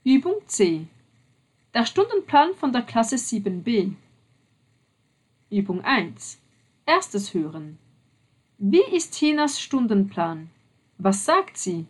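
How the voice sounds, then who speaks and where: A woman reading textbook listening-exercise instructions in a slow, instructive manner. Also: fairly high pitch, very animated. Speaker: exercise narrator. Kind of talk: scripted instructions.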